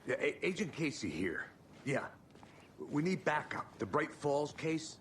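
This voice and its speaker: A man's voice: a little high, very animated, measured pace, a little hiss or hum. A man playing a dry, world-weary federal agent in a video game, terse and sardonic. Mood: businesslike. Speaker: FBI agent.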